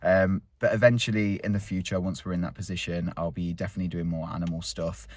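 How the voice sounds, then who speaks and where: A man's voice: sits low, level tone, fast talker, no echo. A man in his thirties rambling openly and chattily as a vlog host while out on a countryside walk. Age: 30s. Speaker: vlogger host. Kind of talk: casual walk-and-talk vlog.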